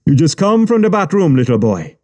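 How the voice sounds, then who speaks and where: A man's voice: fairly high pitch, very animated, a little fast, a little mellow, pitched above the performer's own voice. A man voicing jeering young male gang thugs who mock and taunt. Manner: mocking, taunting.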